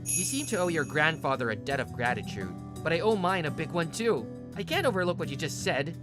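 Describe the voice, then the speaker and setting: A woman's voice: low voice, sing-song, brisk, over music. A woman voicing a male university student, plain-spoken but blunt and tough when provoked, in a manga dub. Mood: angry, firm. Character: young male university student, rough ex-delinquent, protective. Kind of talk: manga dub acting and first-person narration.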